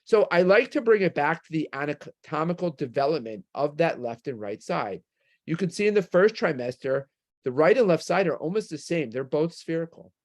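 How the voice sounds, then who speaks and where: A man with a New York accent speaks as a medical lecturer at a conference. His voice sits a little higher than most men's. He explains his material step by step, now and then putting a question to his listeners and answering it himself. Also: animated, moderate pace, darkish tone.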